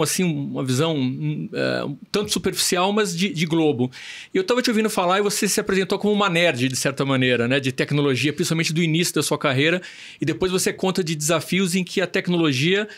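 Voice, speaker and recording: A man's voice: on the high side, fast talker, a bit bright. A man from São Paulo, a journalist co-hosting a podcast, asking long, articulate framing questions. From São Paulo state, Brazil. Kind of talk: conversational podcast interview.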